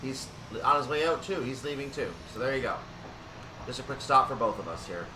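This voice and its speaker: A man's voice: brisk, far from the mic. Roomy, off-mic man doing gameplay commentary. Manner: explanatory, thinking aloud. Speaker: gaming video host. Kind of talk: unscripted gameplay commentary on a train simulator. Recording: roomy, off-mic, steady hum and rumble.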